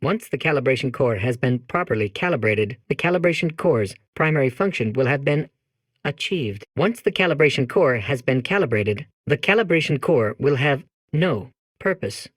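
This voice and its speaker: A man's voice: mid-range voice, expressive, normal speed, no echo, lightly acted. A man voicing a polite, gloomy and anxious robot in a comedy game, with repetitive, literal machine-like phrasing. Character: anxious, gloomy robot core dreading loss of purpose. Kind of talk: comic game character monologue.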